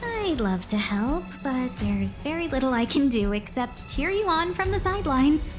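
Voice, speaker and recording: A woman's voice: high voice, very animated, moderate pace, over music. A woman voicing a sly, playful, genteel young businesswoman guide in a video game, coy and polished in manner.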